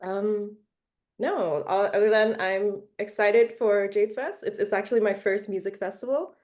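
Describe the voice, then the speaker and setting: A woman's voice: mid-range voice, steady tone, unhurried, a little mellow. A young woman from Malaysia, a singer-songwriter being interviewed, answering casually with many fillers and restarts. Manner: hesitant, many fillers and restarts, laughs often.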